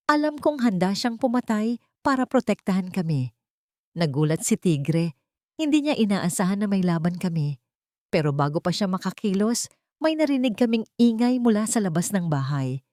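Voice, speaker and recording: A woman's voice: mid-range voice, big pitch swings, normal speed, darkish tone, no room sound, studio quality. A woman reading a dramatic first-person story as scripted narration.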